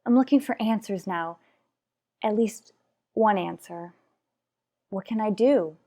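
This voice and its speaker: A woman playing an anguished, pleading mother in an old-time radio soap, speaking in dramatic, halting phrases. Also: mid-range voice, dark tone. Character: anguished, pleading mother afraid for her child.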